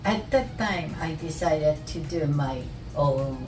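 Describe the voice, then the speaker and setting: A woman in her sixties from Japan, speaking English with a Japanese accent as a guest in an interview, pausing often with "uh" while she searches for words. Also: sits low, animated. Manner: halting, many "uh" fillers, searching for words. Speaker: guest, esthetician. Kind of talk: casual interview. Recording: roomy echo, distant mic, music behind.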